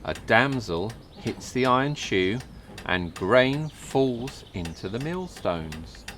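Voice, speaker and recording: A man reading a children's story aloud in a measured, careful delivery. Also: medium pitch, very animated, fairly bright tone, a little hiss or hum. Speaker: storybook reader. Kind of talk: scripted children's story narration.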